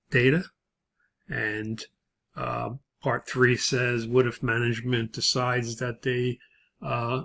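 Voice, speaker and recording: A man narrating an unscripted, step-by-step spreadsheet tutorial as an instructor, in a halting way. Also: medium pitch, gravelly, a bit bright.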